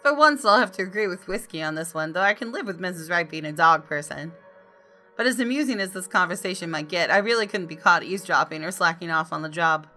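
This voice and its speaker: A woman reading a visual novel aloud as expressive first-person narration. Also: fairly low pitch, fast, soft background music. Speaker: gaming video host reading aloud.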